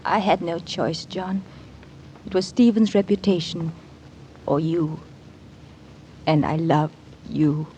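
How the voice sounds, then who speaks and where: Anxious, earnest radio drama wife. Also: female voice, low-pitched, steady tone, takes it slowly, pauses often, noisy background. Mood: resolute, tender. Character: anxious, resolute wife in a tense thriller. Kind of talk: 1940s radio acting.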